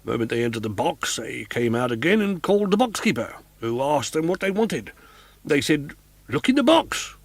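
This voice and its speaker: A man's voice: mid-range voice, big pitch swings, normal speed, no echo, voice pushed up. A man doing a spluttering, nervous inspector. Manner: hesitant, stammering.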